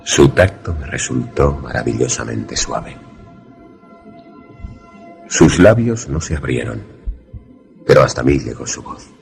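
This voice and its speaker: An actor playing a wary, grave protagonist who narrates a radio suspense drama formally. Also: male voice, very deep voice, expressive, slow pace, gravelly, long pauses, darkish tone, soft background music, exaggerated voice. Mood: solemn storytelling. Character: wary male narrator-protagonist, secret lover in danger.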